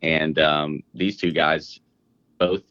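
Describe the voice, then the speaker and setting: A very deep-voiced man from Texas chats as a podcast guest in a friendly, easygoing way and laughs easily. His voice sounds dry and a little muffled, with a lo-fi edge. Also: little pitch movement, takes it slowly, pushed and bright, no echo. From Dallas, Texas. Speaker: guest, app co-owner. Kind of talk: casual podcast chat.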